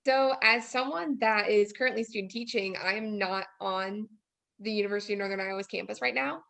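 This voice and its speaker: Female guest in her twenties, medium pitch with gravelly fry, informal, diplomatic and a bit tentative. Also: fairly quick, fairly bright tone. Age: 20s. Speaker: student teacher guest. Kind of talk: casual discussion.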